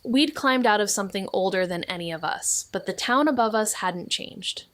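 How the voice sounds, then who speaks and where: A woman playing a measured, brooding amateur sleuth in a noir audio drama, with a dry, understated delivery. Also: mid-range voice, lively intonation, fairly bright tone, no room sound, close to an everyday voice. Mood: brooding narration.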